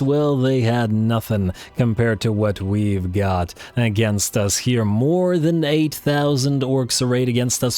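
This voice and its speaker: A man hosting a let's play, giving chatty, excitable play-by-play of a strategy battle while losing his voice a little. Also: fairly low pitch. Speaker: gaming channel host.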